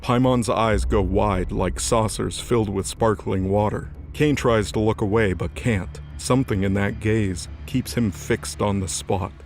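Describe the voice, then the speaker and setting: A man narrating a fantasy audio drama from a script in a measured storytelling manner. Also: lowish voice, steady tone, a little mellow, a little hiss or hum. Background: music.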